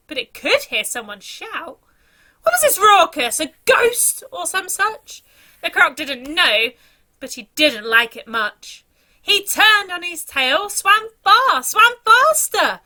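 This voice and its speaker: A woman's voice: very high voice, pushed and bright. A woman reading a children's story aloud in a dramatic, theatrical storytelling manner.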